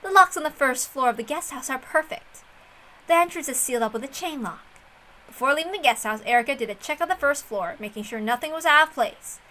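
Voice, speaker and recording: Female storyteller, high-pitched and bright, expressive scripted narration over light music. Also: a little fast, poor audio. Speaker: visual novel reader and narrator. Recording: music under the voice.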